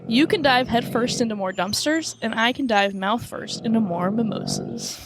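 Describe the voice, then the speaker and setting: A woman's voice: fairly high pitch, normal speed, background noise, natural voice. A woman playing a wry, vacation-loving time-crimes officer in a radio drama parody. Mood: teasing.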